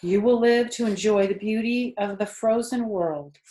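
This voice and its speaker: A woman voicing a tired, wise, motherly female spider in a children's storybook, speaking calmly and gently in a measured way. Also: medium pitch, echoey room, close to an everyday voice. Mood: tired, peaceful. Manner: calm, gentle, measured, matter-of-fact. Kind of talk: children's storybook character reading.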